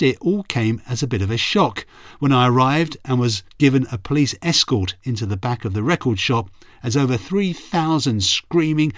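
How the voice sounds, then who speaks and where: A middle-aged man with a croaky, hoarse voice, a radio reporter narrating his memories in a friendly, chatty way. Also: medium pitch, dry recording, clean studio sound. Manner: friendly, chatty, self-deprecating. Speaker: radio reporter and podcast host. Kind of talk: reflective storytelling narration.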